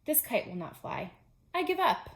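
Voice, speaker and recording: A woman's voice: sits high, sing-song, normal speed, pitched above the performer's own voice. A woman voicing a male toad from a children's storybook, a grumbling homebody who complains in a dramatic, whiny way. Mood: defeated. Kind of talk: children's storybook character reading.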